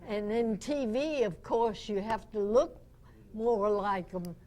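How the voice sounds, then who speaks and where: A woman's voice: mid-range voice, takes it slowly, mellow. A woman in her nineties, a veteran radio actress, reminiscing as a guest speaker in an anecdotal way. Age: 90s. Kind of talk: unscripted reminiscing to a club audience. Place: club meeting room, in front of an audience.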